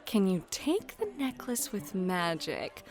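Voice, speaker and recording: A woman narrating a fantasy roleplay as game master, in a playful, teasing, expressive manner. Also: medium pitch, slow, soft background music.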